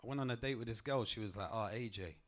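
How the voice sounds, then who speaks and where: A young man, doing a stand-up comedy set on a club stage with a deadpan, punchy delivery. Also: quick pace. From England. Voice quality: deep voice.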